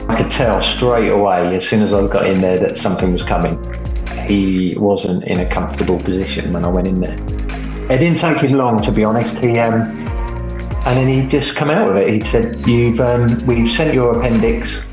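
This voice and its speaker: A man's voice: on the low side, music in the background. Middle-aged man, echoey call audio. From England. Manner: unhurried, frequent ums and restarts. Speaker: guest. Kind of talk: relaxed conversational storytelling. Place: home, by the sea.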